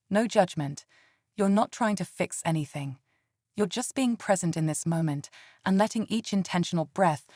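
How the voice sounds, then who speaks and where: Woman, meditation guide, lowish pitch, gentle soothing delivery, scripted, dry close sound. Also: no room sound.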